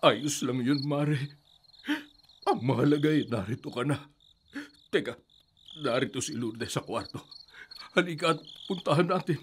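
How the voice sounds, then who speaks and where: A man's voice: medium pitch, a little slow, long pauses, slight background noise, lightly acted. A man playing a grieving middle-aged father who speaks in a somber, subdued way in a radio drama.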